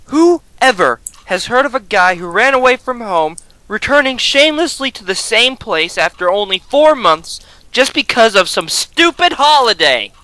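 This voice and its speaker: Casting: performer as grumbling, hot-headed teen boy, anime radio drama; sharp tone, wildly varied pitch, music behind. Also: very animated, moderate pace, dry recording, poor audio. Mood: defiant. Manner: blunt, combative, indignant. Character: hot-tempered, stubborn, grumbling teenage boy. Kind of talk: amateur anime-style radio drama acting.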